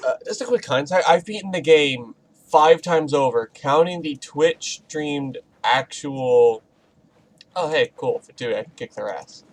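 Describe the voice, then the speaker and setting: A man's voice: fairly high pitch, animated, a little slow, poor audio. A man giving casual, playful commentary as he plays a video game, full of informal asides. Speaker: gaming video host. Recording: faint game noise behind. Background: video game sounds.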